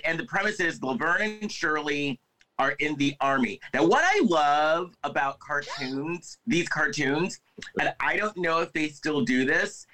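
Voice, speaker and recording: A man with a fairly high, bright, forceful voice, chatting loosely as a podcast co-host. He rambles, circling back with fillers and restarts before landing his point. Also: poor audio. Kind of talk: casual chat.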